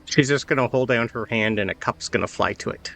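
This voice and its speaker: A man's voice: mid-range voice, brisk, creaky, fairly bright tone. A man running a tabletop game as game master, narrating and chatting casually.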